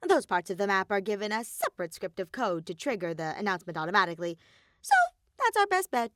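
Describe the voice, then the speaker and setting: A woman's voice: mid-range voice, big pitch swings, fast talker, fairly bright tone, no room sound, clean studio sound. A woman voicing a mischievous, bossy male robot bear villain in an audiobook story, brash and snappy. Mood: bossy, irritated. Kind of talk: audiobook character voice.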